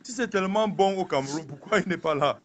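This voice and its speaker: A man's voice: sits high, fast. Insistent male caller on a noisy line. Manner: insistent, interrupts, repeats himself. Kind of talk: call-in conversation.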